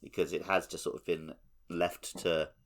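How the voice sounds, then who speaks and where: A man's voice: deep voice, flat delivery, moderate pace. A man co-hosting a podcast, talking casually and descriptively about video games. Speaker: podcast host. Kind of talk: casual video game discussion.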